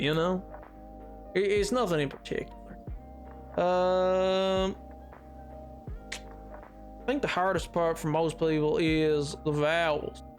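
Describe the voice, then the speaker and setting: A man with a Southern-style American drawl, chatting casually and ramblingly with viewers as a livestreamer. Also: high-pitched. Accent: Southern-style US drawl, put on. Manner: rambling, hesitant explanations. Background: music.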